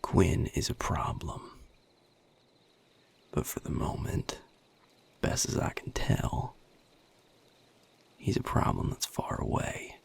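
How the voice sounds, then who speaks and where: A man playing a weary, protective vampire sire in an intimate audio roleplay, with a Southern US accent, a husky, gravelly low voice and a folksy, guarded drawl. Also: slow pace, pauses often, in a whisper, natural voice. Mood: weary, resolute. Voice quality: very deep, gravelly, husky and breathy. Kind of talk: audio roleplay drama, intimate one-sided dialogue.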